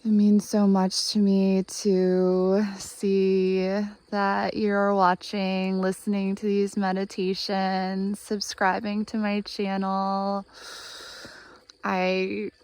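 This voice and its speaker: A woman in her thirties guides a meditation with a heavily creaky voice. She speaks extremely slowly, leaving long pauses, and keeps a gentle, earnest tone with little rise and fall in pitch. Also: monotone. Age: 30s. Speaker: guided meditation host, healer. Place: outdoors in the woods by a spring.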